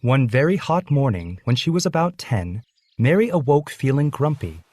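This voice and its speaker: A man's voice: mid-range voice, big pitch swings, normal speed, darkish tone, no room sound. A man reading a scripted children's story as its narrator.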